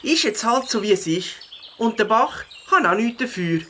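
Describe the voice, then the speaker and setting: A woman's voice: fairly high pitch, very animated, moderate pace, fairly bright tone, slight background noise. A woman playing a plucky little beaver who loves mountain biking in a children's audio play, talking expressively with a Swiss German accent. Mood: resigned.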